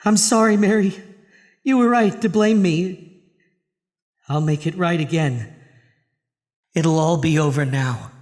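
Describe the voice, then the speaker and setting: Male actor as desperate man in monster's thrall: slow, halting, intense horror-drama delivery, reverberant space. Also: fairly high pitch, darkish tone, lightly acted. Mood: remorseful. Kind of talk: horror radio drama acting. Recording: long hall-like reverb.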